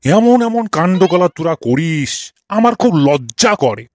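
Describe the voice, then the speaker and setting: A man acts out a cranky old schoolmaster from a comedy cartoon. His voice sits fairly high for a man and is recorded close and dry. He rants and scolds in exasperation, piling up theatrical rhetorical questions. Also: sing-song, measured pace, darkish tone, no room sound. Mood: indignant ranting. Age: older. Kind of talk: comic cartoon dialogue.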